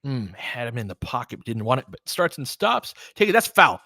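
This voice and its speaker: A man with a bright, forceful voice and a middling pitch hosts audio play-by-play of basketball. He calls the action in short, clipped phrases as an openly partisan fan and explains tactics conversationally. The sound is dry and close, with little room on it. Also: very animated, brisk, dry recording. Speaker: host and play-by-play commentator. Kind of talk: live audio basketball commentary with casual tactical analysis.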